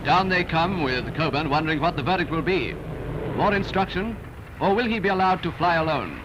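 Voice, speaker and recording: A man's voice: on the high side, expressive, fairly quick, lo-fi sound, heavy background noise. A British man reading scripted 1930s newsreel narration in a grandiose, declamatory, rousing manner. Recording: old film soundtrack from VHS, loud music. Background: loud newsreel music.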